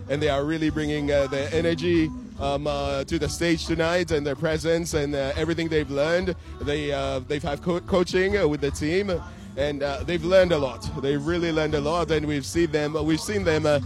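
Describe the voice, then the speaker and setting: Casting note: a higher-voiced man answering as an event judge, halting and full of fillers, jokey, with faint music behind. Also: darkish tone. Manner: halting, many fillers, playful. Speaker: pageant judge interviewed at an event. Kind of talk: joking on-the-spot interview. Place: live evening event. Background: music playing.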